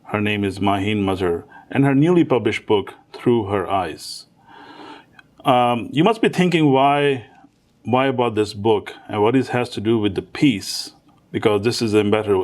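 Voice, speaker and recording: A man hosting a talk show, introducing his guest and asking questions with frequent restarts. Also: lowish voice. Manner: hesitant, with repeated restarts.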